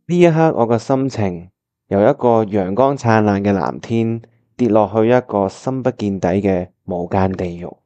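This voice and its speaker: A man's voice: fairly low pitch, moderate pace, mellow, lo-fi sound, lightly acted. An actor with a Hong Kong accent playing a lovesick young male pianist, narrating a scripted radio drama in an earnest, melodramatic way. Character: lovesick, heartbroken young male pianist hiding his feelings. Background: piano music.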